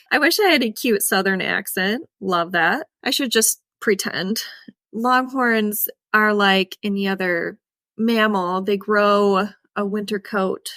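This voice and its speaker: A woman's voice: mid-range voice, slow, a bit bright, no room sound, clean studio sound. A young woman with a Midwestern accent, co-hosting a casual farming podcast, chatty and emphatic, laughing often. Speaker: co-host, cattle farmer.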